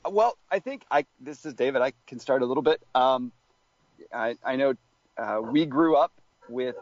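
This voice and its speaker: A male podcast guest talks things through in a thoughtful, hesitant way, pausing on fillers as he builds his point. His voice sits close and dry, and the sound is dull and lo-fi. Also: medium pitch, animated, dry recording. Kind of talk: reflective conversational answer.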